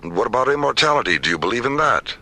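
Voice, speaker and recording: An actor playing a principled science director, speaking firmly and articulately in a science fiction radio drama. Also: male voice, mid-range voice, sing-song, bright, forceful tone, heavily acted. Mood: firm, challenging. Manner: measured, firm, articulate. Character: principled, firm male science director opposing weapons.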